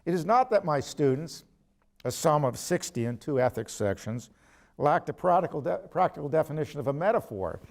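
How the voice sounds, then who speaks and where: Older man giving a deliberate academic lecture. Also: a little high, lively intonation, creaky. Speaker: ethics professor giving a lecture. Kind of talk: academic lecture read from prepared text, with quotations and asides.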